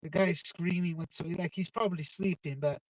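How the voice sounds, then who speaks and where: A man hosting a casual live football chat from inside a car, talking loudly and excitedly and dropping Italian football phrases into his talk. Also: high voice, brisk, dry recording. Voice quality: loud voice. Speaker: live-stream football show host. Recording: dull, distant, dry car recording.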